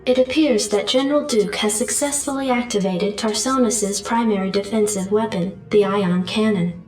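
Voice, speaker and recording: A woman voicing a calm female military computer adjutant who gives formal briefings in a video game. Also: mid-range voice, normal speed, soft background music, lightly acted. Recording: echoey, distant comms voice.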